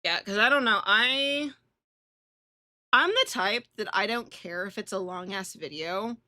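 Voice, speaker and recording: A woman hosting a casual game livestream, chatting bluntly and irreverently and reading on-screen text aloud in an animated way. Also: mid-range voice, unhurried, no room sound, clean studio sound. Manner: foul-mouthed, irreverent, blunt. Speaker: live streamer hosting a visual novel playthrough.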